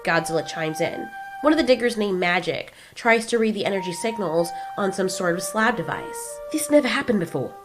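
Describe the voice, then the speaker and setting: A woman's voice: fairly low pitch, moderate pace, over music. A woman giving scripted narration for an audio drama.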